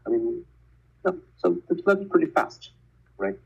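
A man whose first language is Cantonese, a physicist and educator, speaking in a measured, explanatory way with frequent filler words during a casual conversation over a call. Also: on the high side, sing-song, vocal fry, darkish tone, husky, dull audio.

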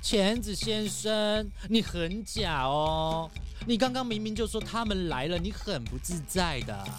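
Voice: medium pitch, animated, a little slow, over music, lightly acted. A voice actor playing an irritable, self-centred young fox guide who argues and complains in a children's audio drama. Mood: indignant complaining. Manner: indignant, argumentative, complaining.

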